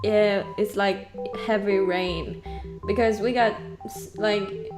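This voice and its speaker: A young woman from Norway chatting casually on a podcast, unhurried and laughing often. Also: mid-range voice, fairly even intonation, over music, poor audio. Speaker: podcast co-host.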